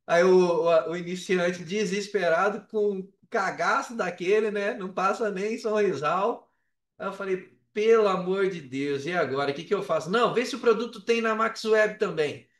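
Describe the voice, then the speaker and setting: A man's voice: high-pitched, normal speed, recorded from a distance. A man with a Brazilian accent telling a story casually and animatedly in slangy speech, acting out bits of dialogue. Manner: colloquial, slangy, re-enacts dialogue. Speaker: podcast guest, affiliate marketer. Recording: roomy, echoey, off the mic.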